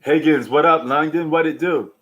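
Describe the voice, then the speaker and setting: A man's voice: a little high, normal speed, fairly bright tone, low-quality recording. A man hosting a live sports stream, chatting casually with slangy, bantering commentary. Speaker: livestream sports host.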